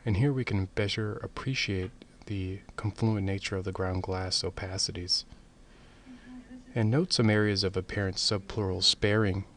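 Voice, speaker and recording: A man narrating a medical case lecture as presenter, in measured, formal explanatory phrasing. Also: low voice, steady tone, fairly bright tone.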